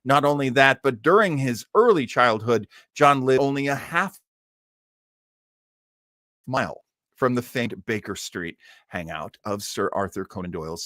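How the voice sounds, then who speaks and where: A man's voice: mid-range voice, a bit bright, no room sound, clean studio sound. A man hosting a live stream in a casual, chatty way, moving between reading aloud and wry asides of his own. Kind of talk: casual live commentary on old-time radio, reading an article aloud.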